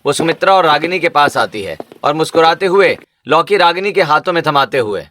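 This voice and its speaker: Bright high-pitched man narrating a story. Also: lively intonation, fairly quick.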